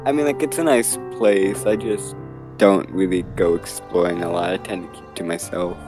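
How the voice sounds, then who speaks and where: A man's voice: sits low, fairly even intonation, normal speed, music in the background, close to an everyday voice. A man playing a shy, polite loner in an improvised game roleplay, speaking hesitantly and apologetically. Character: shy, polite male newcomer, keeps to himself. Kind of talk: improvised Minecraft anime roleplay.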